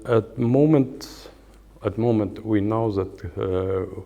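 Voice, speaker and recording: A man in his sixties with an Estonian accent, giving a lecture as a guitar professor in hesitant English with many fillers and pauses. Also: lowish voice, creaky, dark tone, dull audio. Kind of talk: lecture with halting non-native English. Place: lecture hall at a music academy.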